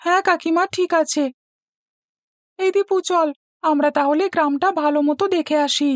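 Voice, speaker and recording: A woman's voice: high voice, fairly even intonation, normal speed, no room sound, clean studio sound, natural voice. A woman voicing a greedy, mischievous young village boy in a fairy-tale cartoon, with music behind. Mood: grumbling, plotting.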